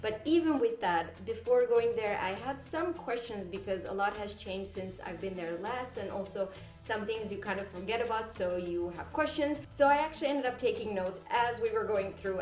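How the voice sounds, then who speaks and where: A woman's voice: mid-range voice, darkish tone. A woman from Syria giving casual travel tips to camera from in front of a green screen, chatty, with fillers and self-corrections. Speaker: travel vlogger. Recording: roomy echo, faint music behind.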